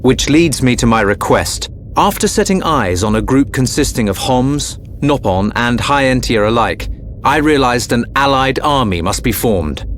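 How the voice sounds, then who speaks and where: An actor playing a dignified, courteous prince in a video game, speaking formally and solemnly. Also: male voice, medium pitch, animated, moderate pace, few pauses, slight background noise, lightly acted. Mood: stately, resolved. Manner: formal, stately. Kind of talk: video game cutscene acting.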